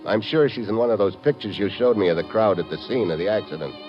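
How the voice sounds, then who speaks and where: Male actor as hard-boiled agent, very deep voice, wry, laconic, old radio drama, dull lo-fi sound. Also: lively intonation, quick pace, loud music behind the voice, lightly acted. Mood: businesslike. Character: tough, wry American male special agent posing as newspaperman. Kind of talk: 1950s radio drama acting. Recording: dull, muffled vintage radio recording.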